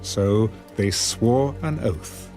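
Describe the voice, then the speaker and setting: A man's voice: fairly low pitch, expressive, extremely slow, a little mellow. A man narrating a dramatised scripture audiobook as a scripted reading. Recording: music behind the voice.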